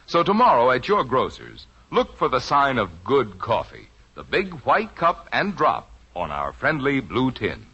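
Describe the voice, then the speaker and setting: A man's voice: medium pitch, huge pitch swings, measured pace, few pauses, bright, forceful tone, breathy edge. An American man reading a persuasive scripted coffee commercial in mid-century radio style. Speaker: commercial announcer. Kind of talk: scripted 1950s radio advertising pitch. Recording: muffled old radio recording.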